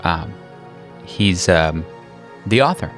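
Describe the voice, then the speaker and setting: A man's voice: sits low, expressive, takes it slowly, a bit bright, soft background music, full character voice. A man voicing an eager, motherly, bookish woman, chatty and a little hesitant as she makes introductions. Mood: eager, coaxing.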